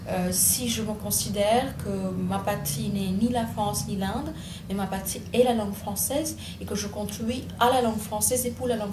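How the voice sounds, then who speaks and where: A middle-aged woman from India speaks with an Indian accent, choosing her words slowly and reworking her phrases as she goes. Her voice carries some room echo, and a faint ambient hum sits underneath. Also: medium pitch. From Bengal, India. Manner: thoughtful, many hesitations and self-corrections. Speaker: writer. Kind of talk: reflective interview answers.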